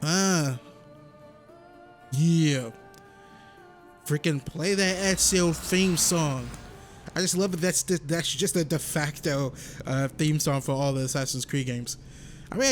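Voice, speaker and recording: A man's voice: fairly high pitch, expressive, a little slow, soft background music. A man hosting a casual gameplay walkthrough, chatty and rambling, often correcting himself. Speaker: gaming YouTuber.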